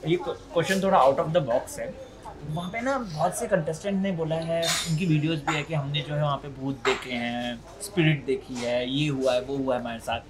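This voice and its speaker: A man's voice: fairly high pitch, normal speed. A man with an Indian accent asking conversational interview questions in a deferential, somewhat hesitant way.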